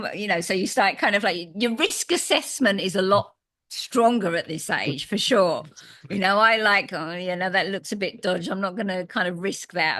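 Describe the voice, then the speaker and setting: A woman in her fifties, a podcast guest who tells stories in a lively way and acts out her own reactions. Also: medium pitch. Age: 50s. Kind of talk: casual conversation.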